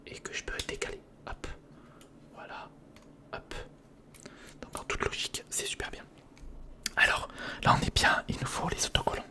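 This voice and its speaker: A man whispering an informal ASMR narration of hands-on work, thinking aloud and softly repeating words, close to the microphone. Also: normal speed, pauses often. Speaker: ASMR video creator. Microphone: close recorder or studio microphone.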